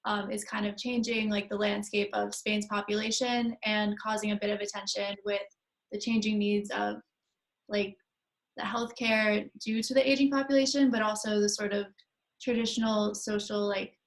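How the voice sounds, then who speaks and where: Young female student from New York, level and even voice, casual with hedging fillers. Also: mid-range voice, vocal fry, poor audio. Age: young adult. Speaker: university student.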